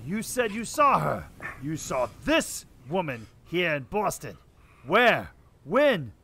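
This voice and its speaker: An actor playing a hard-boiled, world-weary 1940s private investigator in a noir radio drama, with tough, wry delivery. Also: male voice, a little high, very animated, slow, vocal fry, bright, forceful tone, roomy sound, full character voice. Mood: threatening.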